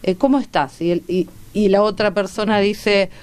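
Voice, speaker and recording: A woman with a Rioplatense Argentine accent and a smooth, clean voice at an average pitch. She speaks as a medical guest in a radio interview, explaining things in a didactic, conversational way and checking in with little tag questions. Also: fairly even intonation, normal speed, a little mellow, no room sound. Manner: didactic, checks in with rhetorical tags. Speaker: guest doctor and medical researcher.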